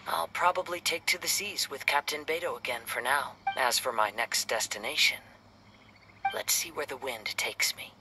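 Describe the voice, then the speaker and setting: An actor playing a thoughtful young swordsman in a video game, soft-spoken, measured and formal. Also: medium pitch, noisy background, lightly acted. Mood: calm, polite. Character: gentle young male wandering swordsman, thoughtful and poetic. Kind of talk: video game character dialogue. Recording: loud background music under the voice.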